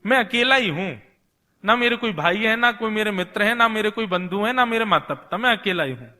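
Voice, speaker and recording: A man's voice: high voice, expressive, a little fast, bright, forceful tone, exaggerated voice. A man voicing a small orphan boy, a god in disguise, earnest and self-assured, listing things repetitively in a devotional tale. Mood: earnest, plain. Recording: long hall reverb.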